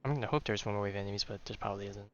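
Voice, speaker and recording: A man's voice: lowish voice, very fast, lo-fi sound. A man giving casual live gameplay commentary as a streamer, thinking aloud in an informal way. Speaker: game streamer. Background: video game music.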